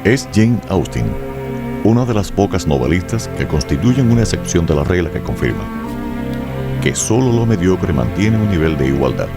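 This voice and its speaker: Deep, expressive male radio narrator. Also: normal speed, loud music behind the voice. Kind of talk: scripted radio drama narration.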